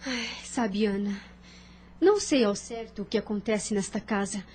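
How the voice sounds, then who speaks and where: A Brazilian woman playing a sheltered, gentle young bride-to-be in an audio drama, with earnest, formal period speech. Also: medium pitch, moderate pace, husky, close to an everyday voice. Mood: uneasy.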